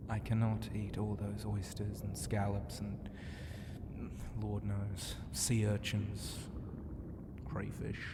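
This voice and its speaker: A man voicing a flirtatious, regal sea dragon ocean god in an ASMR roleplay, teasing and grandly courteous, often dropping into a breathy whisper. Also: fairly low pitch. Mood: courteous, coaxing. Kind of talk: ASMR roleplay addressed to the listener. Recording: long cavernous reverb, clear ambient noise.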